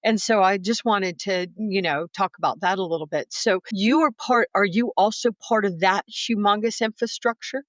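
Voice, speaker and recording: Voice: medium pitch, moderate pace, hardly any pauses, a bit bright, no echo, lo-fi sound. An older American interviewer with an effusive, rambling, chatty way of talking, repeating words for emphasis. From United States. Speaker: podcast co-host, interviewer.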